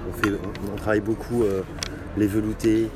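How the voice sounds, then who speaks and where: A middle-aged man talks slowly and hesitantly, with plenty of pauses and fillers, as he answers questions about his bar. He is recorded outdoors on a city street, with clear traffic noise behind him. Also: lowish voice, flat delivery. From France. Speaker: bar owner. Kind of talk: casual interview.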